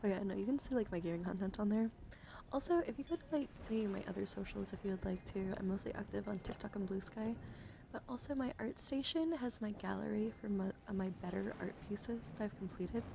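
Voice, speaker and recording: A woman live-streaming ASMR, chatting casually and playfully to viewers in a voice that drifts between soft speech and hushed whispering. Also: medium pitch. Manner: playful, giggly, easily sidetracked. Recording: clearly audible background noise.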